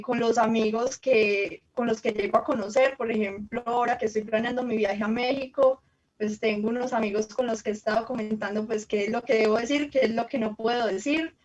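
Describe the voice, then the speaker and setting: A Colombian woman with a somewhat high voice recounts her travels in an easy, chatty way, full of small fillers. The sound is muffled and low-fidelity. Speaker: journalist guest. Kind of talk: conversational storytelling.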